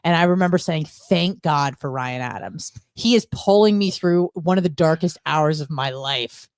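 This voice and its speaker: Woman gossiping candidly, slightly low dry voice. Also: moderate pace, no room sound. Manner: animated, candid storytelling with rambling asides. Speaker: radio show co-host. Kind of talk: casual gossipy chat.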